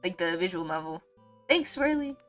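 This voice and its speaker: Bright-voiced woman streaming over music. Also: fairly low pitch, sing-song, moderate pace. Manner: informal, sweary, effusive. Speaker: game streamer. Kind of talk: casual livestream commentary while reading a visual novel aloud. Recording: music behind the voice.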